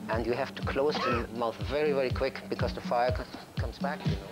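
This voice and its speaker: A man's voice: medium pitch, steady tone. German guy singer talking in choppy broken english, music going behind him. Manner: halting, broken English with restarts. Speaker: interviewed pop singer. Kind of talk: interview answers. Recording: interview with music playing behind.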